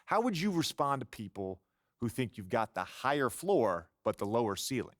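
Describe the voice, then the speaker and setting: A man's voice: mid-range voice, very animated, moderate pace, no echo, clean studio sound, lightly acted. An actor playing an analytical male sports TV panelist who steers the discussion and weighs up players. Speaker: sports talk show panelist. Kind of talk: video game cutscene voice acting.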